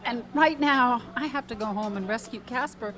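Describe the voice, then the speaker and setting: An actress as sardonic waitress, fairly high voice. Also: female voice, moderate pace, a crowd in the background, close to an everyday voice. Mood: apologetic, touched. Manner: dry, exasperated, sarcastic. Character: sardonic, down-on-her-luck waitress, defiant and quick-witted. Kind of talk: comedic radio drama acting.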